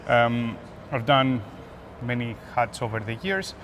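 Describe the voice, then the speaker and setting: A man from Greece speaks with a Greek accent as an interview guest. His voice sits at a medium pitch and he pauses between phrases as he explains things. Noticeable urban background noise runs behind him. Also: expressive, fairly bright tone. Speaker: guest, application security expert. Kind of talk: conversational interview answers. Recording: noisy urban ambience behind voice.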